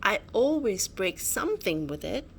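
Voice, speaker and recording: A woman's voice: mid-range voice, sing-song, normal speed, gravelly, slight background noise. A woman voicing an anxious, clumsy young male armored dinosaur in a children's storybook, protesting with effort. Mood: anxious refusal. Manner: straining, protesting. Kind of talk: children's storybook character reading.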